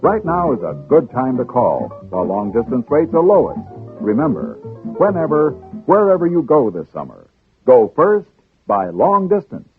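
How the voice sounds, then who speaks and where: Lively upbeat radio-ad husband. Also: male voice, medium pitch, moderate pace. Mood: upbeat selling. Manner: upbeat, smooth salesman phrasing. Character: cheerful husband and pitchman setting off on vacation. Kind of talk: 1950s radio commercial. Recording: muffled old radio recording, music behind.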